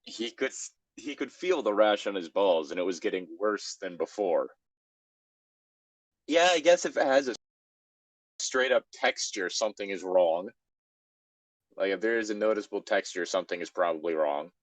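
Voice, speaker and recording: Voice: sits low, lively intonation, normal speed, long pauses, no echo. An exasperated, sardonic host casually reading fan fiction aloud and adding comic commentary.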